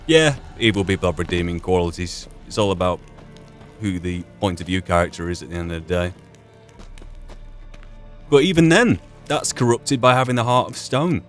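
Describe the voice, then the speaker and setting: A man's voice: deep voice, animated, normal speed. A man giving reflective solo commentary on a roleplay playthrough, posing philosophical questions to himself as he goes. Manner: musing, philosophical, poses rhetorical questions. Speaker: gamer narrating a roleplay playthrough. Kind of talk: reflective solo game commentary, musing in and out of character. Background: video game music.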